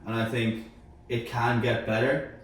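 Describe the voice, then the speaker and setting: A man's voice: medium pitch, little pitch movement, unhurried. A man talking casually in a panel discussion, giving his opinions emphatically and point by point. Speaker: sports media team member in panel discussion. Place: echoey room. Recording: very roomy, distant mic, faint noise.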